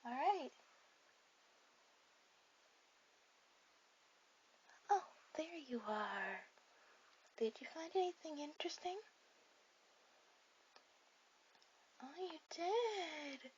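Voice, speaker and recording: A woman voicing a shy, sweet, bookish teenage anime girl in a soft-spoken, hesitant ASMR roleplay. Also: sits high, animated, measured pace. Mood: quietly excited. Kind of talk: ASMR romantic roleplay addressed to the listener. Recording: dull lo-fi audio with clear hiss.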